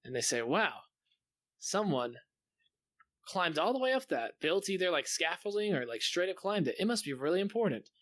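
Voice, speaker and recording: A man co-hosting a podcast, telling stories in a casual, joking, sweary and animated way. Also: sits high, moderate pace, dry recording. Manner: animated, profane. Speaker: podcast co-host. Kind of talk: casual joking chat, storytelling.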